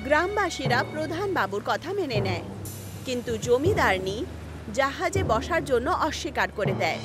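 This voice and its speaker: A woman narrates a children's tale in a high voice. Her delivery is expressive, with very animated intonation. Music plays clearly behind her. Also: moderate pace. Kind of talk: scripted children's story narration.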